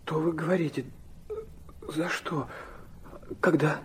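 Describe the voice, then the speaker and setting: A man's voice: on the high side, fairly even intonation, takes it slowly, slight background noise. An actor playing a feverish, proud young man, narrating his own torments intensely and theatrically in a radio play. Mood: stunned, brooding. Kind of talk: dramatic first-person radio play narration.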